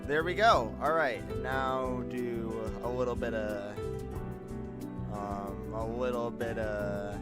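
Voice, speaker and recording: A man's voice: mid-range voice, steady tone, takes it slowly, loud music behind the voice. A man hosting a casual gaming livestream, rambling in a self-deprecating way. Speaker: livestream host, gamer. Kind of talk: casual livestream chat over gameplay. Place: live game stream, music behind the voice.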